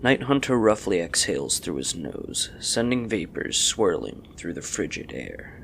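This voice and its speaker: A man's voice: deep voice, big pitch swings, unhurried, creaky, fairly bright tone, a little hiss or hum. A man reading scripted fantasy story narration as the narrator of an audio drama.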